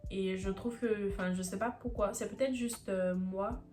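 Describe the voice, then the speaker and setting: An eighteen-year-old woman from Ivory Coast with an Ivorian French accent, talking to the camera in a casual vlog and often pausing to search for words. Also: medium pitch, steady tone, warm tone. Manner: hesitant, searching for words, many fillers. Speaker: YouTuber.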